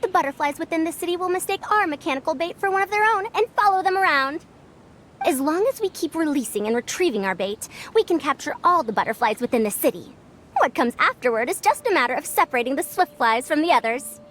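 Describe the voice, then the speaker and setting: A woman's voice: sits high, expressive, quick pace, a bit bright, slight background noise. A woman voicing a proud, youthful-sounding scholar who lectures like an elder in old-fashioned phrasing, in a video game. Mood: explaining. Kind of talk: animated video game voice acting.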